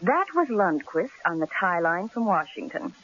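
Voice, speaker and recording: An actress playing a pert, inquisitive newspaper secretary in an old-time radio drama, arch and playful. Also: female voice, lowish voice, sing-song, moderate pace, fairly bright tone, slight background noise. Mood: brisk, informative. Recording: dull, muffled old radio broadcast recording.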